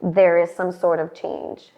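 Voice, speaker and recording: A woman's voice: on the low side, little pitch movement, a little slow, lo-fi sound. A woman in her late twenties from the Bronx with a New York accent, chatting as an interview guest and filling her talk with "like" and "you know". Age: late 20s. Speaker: guest, fashion influencer. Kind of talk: casual interview conversation.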